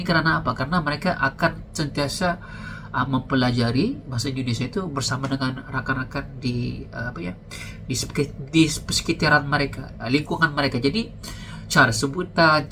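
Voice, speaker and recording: A man's voice: medium pitch, background noise. A man with a Malaysian accent, hosting a casual reaction video with a chatty, hesitant manner and many fillers. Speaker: reaction channel host.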